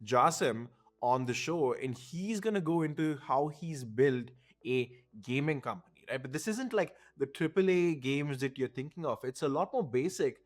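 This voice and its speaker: A man's voice: mid-range voice, lively intonation, normal speed. A man hosting a podcast, introducing the show and asking his guest questions in a conversational style. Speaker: podcast host.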